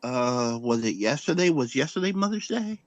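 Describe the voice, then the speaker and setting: A man in his forties in a casual group chat, speaking haltingly with many fillers. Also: medium pitch, very animated, no echo, highs cut off. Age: 40s.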